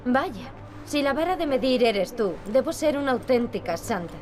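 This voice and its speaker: An actress playing a solemn, sharp-tongued young heroine in a video game. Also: female voice, high voice, expressive, moderate pace. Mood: dry, reproachful. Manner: solemn, dry. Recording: game music behind the voice. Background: game music.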